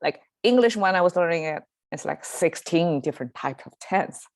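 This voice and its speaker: A woman's voice: fairly low pitch, expressive, normal speed, no room sound, studio quality. A woman with a Chinese accent hosting a casual language lesson, talking with many fillers and restarts. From Chinese-speaking. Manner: hesitant, lots of fillers and restarts, laughs easily. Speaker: language teacher and show host. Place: home in Southern California.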